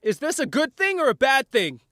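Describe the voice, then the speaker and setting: High, animated, brash teen boy played by a man. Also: moderate pace, no room sound, clean studio sound, full character voice. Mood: incredulous. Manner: blunt, incredulous. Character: brash, impatient teenage boy, reluctant group leader. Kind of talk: audio drama acting.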